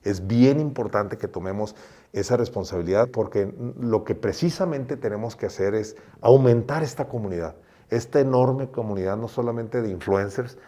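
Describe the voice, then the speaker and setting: Man, middle-aged, from Mexico, low mellow voice, earnest interview guest, repeats words as he restarts. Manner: slow, repetitive, often restarts phrases. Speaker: guest doctor.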